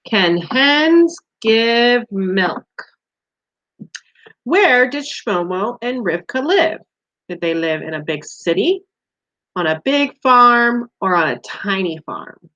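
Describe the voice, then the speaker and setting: A woman teaching young children speaks slowly, with a lively, swinging pitch. She puts simple questions to her small listeners and encourages their answers. The recording is a little dull and lo-fi. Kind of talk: interactive read-aloud quiz for young children.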